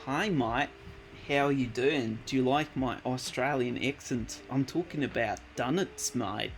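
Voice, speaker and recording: A man's voice: medium pitch, measured pace, slight background noise, close to an everyday voice. A man putting on an exaggerated mock-Australian accent as a cheery bloke, full of matey slang. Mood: jokey.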